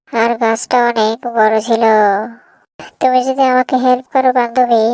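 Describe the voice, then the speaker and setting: A woman's voice: on the high side, darkish tone, no room sound, lightly acted, low-quality recording. A woman voicing a small worried female bird in a children's cartoon, earnestly asking for help. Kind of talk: children's cartoon story voice acting.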